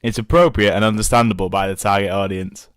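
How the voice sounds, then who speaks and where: A young man, a media student, reading out an evaluation commentary on his documentary, steady with small restarts. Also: fairly low pitch, fast, smooth, dry recording, clean studio sound.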